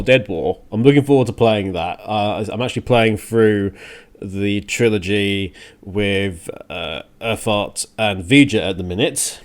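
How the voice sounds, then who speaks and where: Man hosting with lively, swinging pitch. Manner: thinking aloud, self-correcting. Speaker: radio show and podcast host. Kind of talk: casual rambling solo radio show talk.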